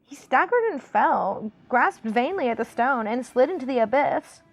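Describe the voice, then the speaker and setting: A woman's voice: sits high, lively intonation, normal speed, soft background music. A woman voicing a ditzy dumb-blonde girl reading an epic fantasy storybook, every phrase lilting up like a question. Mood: dramatic. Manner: airy, questioning upspeak.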